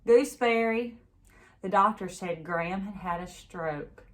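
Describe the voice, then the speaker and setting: A woman with a slightly low voice narrates a story aloud. Her delivery is expressive, in the way of someone reading a children's novel to a class. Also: a little slow.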